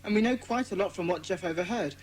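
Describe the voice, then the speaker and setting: A woman's voice: medium pitch, fast, husky, lightly acted. Woman playing an earnest British schoolboy leader. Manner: earnest, well-spoken. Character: Peter, earnest schoolboy leader of a children's detective club. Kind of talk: children's audiobook dramatisation.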